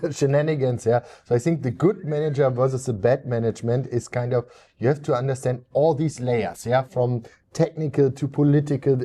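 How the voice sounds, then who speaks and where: A man's voice: medium pitch, warm tone. A man on a panel explaining his view in long lists, often tagging "yeah" onto his sentences. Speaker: panel guest. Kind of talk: casual panel discussion.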